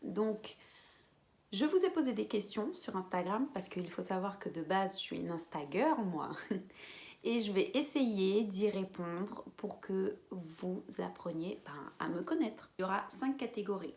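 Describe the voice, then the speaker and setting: A woman in her twenties from French Guiana, chatting casually to camera as a beauty vlogger, with quiet whispered asides. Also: mid-range voice, expressive, unhurried. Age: 20s. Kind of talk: casual vlog chat to camera.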